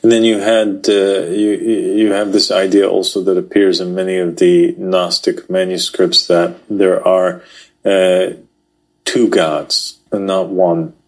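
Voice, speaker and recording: A man talking through religious texts in a relaxed podcast conversation, thoughtful and hesitant, with frequent fillers. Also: deep voice, unhurried. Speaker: podcast co-host. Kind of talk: casual religious discussion.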